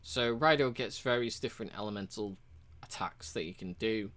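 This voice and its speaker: A man casually walking through a game's achievements, relaxed and rambling, with frequent ums and uhs. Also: fairly low pitch, moderate pace. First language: English. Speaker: game reviewer.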